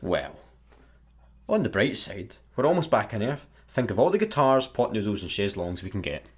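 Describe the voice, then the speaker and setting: An actor playing a hapless, good-natured, sheepish satellite worker with a Scottish accent in a sci-fi comedy radio play. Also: male voice, fairly low pitch, very animated, normal speed, husky. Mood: resigned, consoling.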